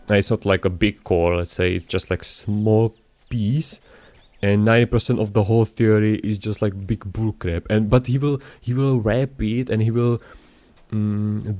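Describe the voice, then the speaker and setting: Rambling, hesitant man with a lowish voice. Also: a little mellow, no room sound. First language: not English. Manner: rambling, hesitant, many restarts and fillers. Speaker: gaming YouTuber. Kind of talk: casual gameplay commentary.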